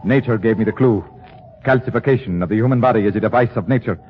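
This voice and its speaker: An actor playing a cold, condescending villainous scientist in a 1940s radio drama, mock-polite and sinister. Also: male voice, lowish voice, quick pace, lightly acted. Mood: coldly menacing. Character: cold, sinister male mad-scientist professor. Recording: muffled, hissy old radio recording.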